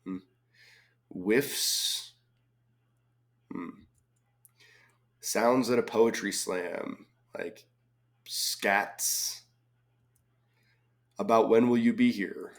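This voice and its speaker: A man with a slightly hoarse voice from a cold, casually thinking aloud as he solves a crossword, reading clues and muttering guesses. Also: on the low side, very slow, pauses often. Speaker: crossword solving video host.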